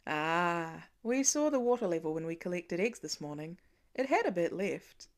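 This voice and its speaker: A gentle father, voiced by a woman narrator, pitched down from the reader's usual voice. He speaks softly and tenderly, reassuring in the manner of a bedtime storybook. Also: big pitch swings, moderate pace.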